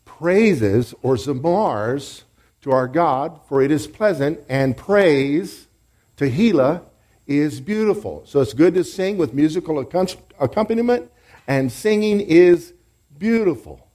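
A man's voice: fairly high pitch, lively intonation, a little slow. Man preaching, leading call-and-response. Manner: call-and-response, leads congregation in shouts. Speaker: pastor. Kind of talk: sermon teaching.

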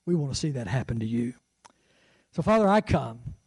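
A man's voice: medium pitch, very animated, normal speed, a little mellow, breathy edge, no room sound. A man in his sixties preaching a sermon as pastor, conversational and fervent, with rising exclamations. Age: 60s. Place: church sanctuary.

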